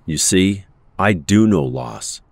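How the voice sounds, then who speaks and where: Man as low-voiced, reflective war veteran. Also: takes it slowly, no echo, heavily acted. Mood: earnest, confiding. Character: stoic, wounded veteran inventor, reflective and hopeful mutant man. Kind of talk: audio drama acting.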